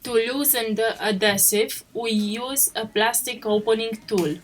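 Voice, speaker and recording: A woman's voice: on the high side, a little slow. A woman reading a scripted instructional narration as a tutorial narrator, in short step-by-step statements. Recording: clearly noisy track with clicks.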